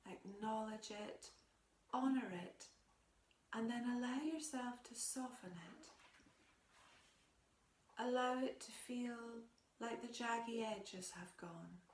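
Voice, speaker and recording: Slow, soft woman guiding meditation. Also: fairly high pitch. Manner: gentle, soothing, unhurried with long pauses. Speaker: meditation guide. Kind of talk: guided meditation. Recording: roomy echo with faint background noise.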